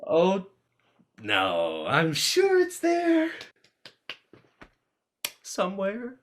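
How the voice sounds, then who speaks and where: A man's voice: high-pitched, wildly swooping pitch, extremely slow, slight background noise, full character voice. A man playing an awkward, dryly polite teenage boy in a play reading, hesitant and wry. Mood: uneasy. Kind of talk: dramatized livestream play reading.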